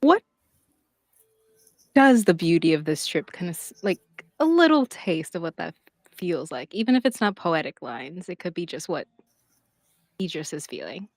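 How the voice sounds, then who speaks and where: A woman game master improvising narration for a tabletop roleplaying game, gentle, halting and encouraging. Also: on the low side, big pitch swings, dry recording.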